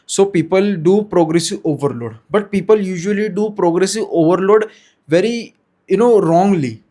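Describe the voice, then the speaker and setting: A man's voice: high voice, moderate pace, mellow. A young man in his twenties with an Indian English accent, a native Hindi speaker, talking hesitantly to camera about fitness and often restarting his sentences. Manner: hesitant, frequent restarts and self-corrections. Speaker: fitness vlogger. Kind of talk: unscripted talk to camera. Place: small room indoors.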